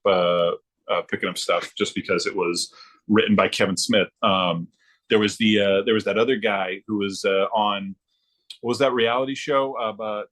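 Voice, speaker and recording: A middle-aged man reminiscing casually, thinking aloud in a halting, informal way. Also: fairly low pitch, a bit bright. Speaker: guest, former comics production worker turned librarian.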